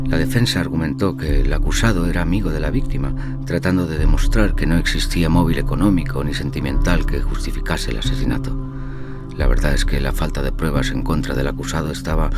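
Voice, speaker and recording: A very deep, gravelly haunted gentleman narrator. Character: Charles, fearful haunted gentleman juror narrating his ordeal. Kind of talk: dramatic first-person audio drama narration. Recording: loud music bed under the voice.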